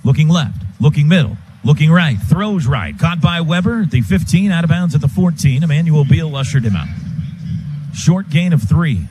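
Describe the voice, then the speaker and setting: An American man calling live football on the radio as play-by-play announcer, in clipped phrases that build toward excited calls. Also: fairly high pitch, normal speed. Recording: stadium booth with loud crowd noise.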